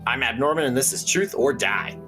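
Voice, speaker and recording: A man's voice: medium pitch, lively intonation, a little fast, music in the background, close to an everyday voice. A man playing a matter-of-fact host of a paranormal call-in radio show. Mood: musing, matter-of-fact. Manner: matter-of-fact about bizarre things. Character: folksy conspiracy radio host of weird small-town stories.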